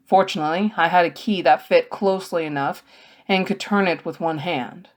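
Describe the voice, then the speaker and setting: A woman's voice: on the low side, normal speed. A woman narrating a scripted first-person story as an audiobook reader.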